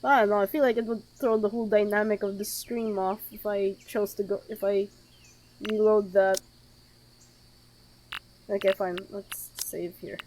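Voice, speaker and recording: A woman's voice: mid-range voice, steady tone, normal speed, long pauses, darkish tone, slight background noise, low-quality recording. A woman streaming a horror game, reading aloud and reacting nervously, often repeating herself.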